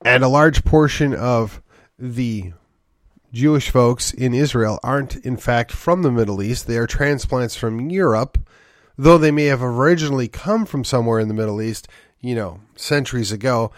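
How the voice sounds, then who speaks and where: A man's voice: mid-range voice, normal speed, no room sound, clean studio sound. A man hosting a solo political commentary podcast, congested from a sinus infection, rambling and wry with sighs and short laughs. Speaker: podcast host.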